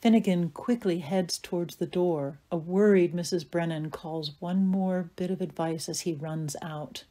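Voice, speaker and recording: A woman's voice: lowish voice, steady tone, unhurried, few pauses, mellow. A woman reading stage directions as scripted narration for an audio play.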